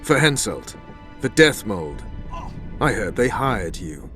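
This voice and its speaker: Hardbitten fantasy army captain, lowish voice, blunt and sardonic, game music underneath. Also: male voice, very animated, slow pace. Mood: suspicious. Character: hard, cynical army captain and spymaster man, patriotic. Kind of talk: video game voice acting.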